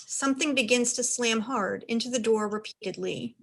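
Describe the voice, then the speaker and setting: A woman's voice: a little high, moderate pace, gravelly. A woman reading stage directions as narrator for a dramatized script reading, in scripted narration.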